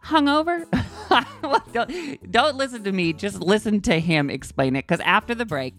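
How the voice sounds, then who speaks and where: Hoarse gushing man host. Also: high-pitched, moderate pace. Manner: gushing, animated, many restarts. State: hoarse from a cold. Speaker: podcast host. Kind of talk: casual chat.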